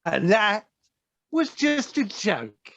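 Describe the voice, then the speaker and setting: An actor playing a scheming, bullying chief weasel in an audio play, taunting and contemptuous, with a gravelly voice. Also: mid-range voice, very animated, slow, fairly bright tone, lo-fi sound, full character voice. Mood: taunting, sly. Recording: home recording over video call.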